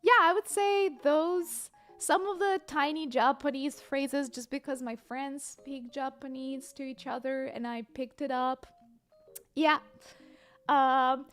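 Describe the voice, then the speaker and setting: A young woman, a livestreamer chatting casually and reading viewer comments aloud, excitable and hesitant in her English. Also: sits high. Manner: chatty, excitable, bursts of squeals and laughter; hesitant non-native English with many fillers.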